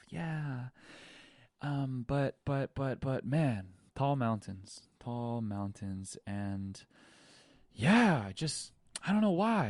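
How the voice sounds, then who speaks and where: A man's voice: mid-range voice, dry recording, clean studio sound. A man, hosting a podcast and talking candidly in a rambling monologue full of restarts. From Hawaii. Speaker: podcast host. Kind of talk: reflective personal monologue.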